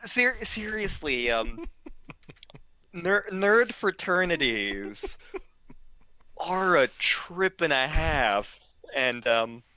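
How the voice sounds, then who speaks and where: A man telling humorous anecdotes casually, in a rambling, halting and giggly way. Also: fairly high pitch, sing-song, pushed and bright, smooth, no room sound. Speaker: storyteller, regular of the show.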